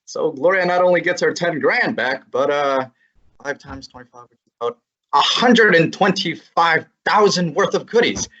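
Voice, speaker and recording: Someone doing a joking sidekick guy, kinda husky, dull lo-fi sound. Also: mid-range voice, animated, moderate pace, a bit bright. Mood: wisecracking. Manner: breezy, joking. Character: wisecracking young male detective assistant. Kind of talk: student radio drama. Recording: lo-fi recording.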